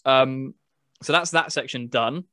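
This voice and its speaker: Male podcast host, mid-range pitch, conversational and informal game-review chat, dry recording. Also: unhurried, pushed and bright, dry recording.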